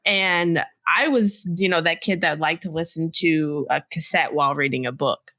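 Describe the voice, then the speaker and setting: A woman with a notably low yet forceful, bright voice co-hosts a podcast. She chats casually and candidly, recorded dry and close. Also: moderate pace, no echo. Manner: candid, chatty. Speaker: podcast co-host.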